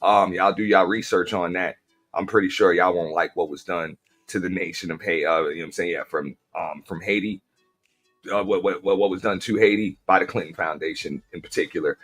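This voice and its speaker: A man's voice: low voice, brisk, fairly bright tone, slightly rough, faint music behind. Blunt, profane male stream host. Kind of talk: casual live-stream commentary.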